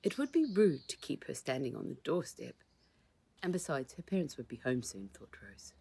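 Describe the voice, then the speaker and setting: A woman's voice: a bass voice, big pitch swings, normal speed, creaky, mellow, husky. A woman reading a children's storybook aloud as scripted narration, as its author.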